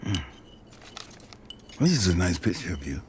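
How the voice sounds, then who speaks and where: An actor playing a wry, principled middle-aged father in an audio drama, with an American accent and gravelly, folksy delivery. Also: low voice, big pitch swings, normal speed, darkish tone, a little hiss or hum. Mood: wry, supportive. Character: wry, principled father who approves of righteous trouble.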